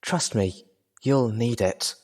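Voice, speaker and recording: A man voicing a gentle, worried female pony medic who talks in a soft, weary, caring way. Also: mid-range voice, slow, pitched above the performer's own voice. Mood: caring, exhausted.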